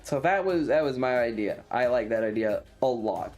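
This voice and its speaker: A man's voice: medium pitch, expressive, moderate pace, a little mellow, soft background music. A man chatting casually as a streamer, thinking aloud in an informal, sweary way. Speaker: streamer and video creator. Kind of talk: casual rambling monologue.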